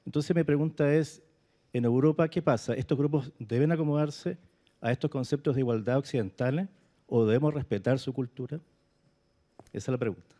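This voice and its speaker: A man's voice: mid-range voice, brisk, mellow. A man from Chile with a Chilean accent, putting an unscripted question to a panel from the audience. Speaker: audience member asking a question.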